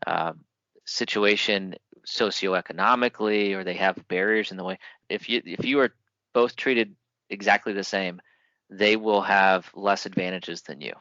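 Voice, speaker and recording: A man hosting a podcast interview, with a very low voice and a bright, forceful edge. He talks in a thoughtful, conversational way. The recording is dry and a little muffled. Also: moderate pace, no room sound. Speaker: podcast host.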